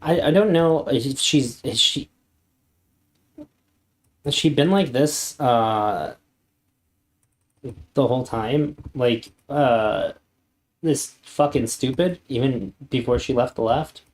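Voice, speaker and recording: A man streaming live, mocking a video clip in a deadpan, sarcastic tone with frequent hesitations. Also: mid-range voice, takes it slowly, long pauses. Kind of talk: casual sarcastic commentary. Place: home streaming setup.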